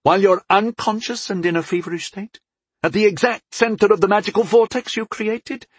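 A man's voice: high-pitched, steady tone, a little fast, breathy edge, no echo, pitched above the performer's own voice. A man voicing a skeptical, exasperated goddess in a fantasy audiobook, questioning and chiding. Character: skeptical, exasperated patron goddess, a divine woman.

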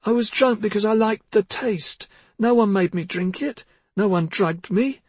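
A man voicing a distraught teenage girl who sobs and shouts in a strained, high voice. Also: brisk, slightly rough, no room sound, full character voice. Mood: sobbing, defiant.

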